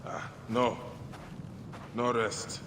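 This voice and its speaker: A man playing a grim, sleepless, vengeful warrior in a video game cutscene, with a gravelly voice. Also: medium pitch, monotone, extremely slow. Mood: grim resolve. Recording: long reverb, music or noise behind.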